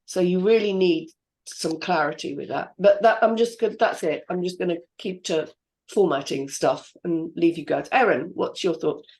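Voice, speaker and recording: A woman's voice: lowish voice, normal speed, a little mellow. A woman hosting a script reading panel and giving notes on formatting. She thinks aloud and hesitates with frequent fillers. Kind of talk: casual feedback discussion.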